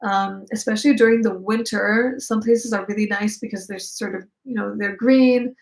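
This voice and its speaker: A woman's voice: medium pitch, fairly even intonation, normal speed, creaky. A young woman with an Israeli accent, a native Hebrew speaker, hosting a casual live chat and answering viewer questions. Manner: chatty, reads out viewer comments, many fillers.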